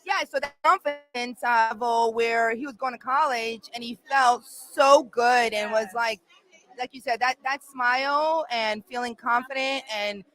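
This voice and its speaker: Brief: high, bright woman host, animated and gushing, live podcast event. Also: a little slow, low-quality recording. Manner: animated, enthusiastic, talks with her hands. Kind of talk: casual chat at a podcast event.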